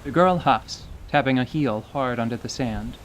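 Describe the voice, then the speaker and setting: A man narrating a scripted radio theater story in a measured, descriptive way. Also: medium pitch, a little hiss or hum, low-quality recording. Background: faint music.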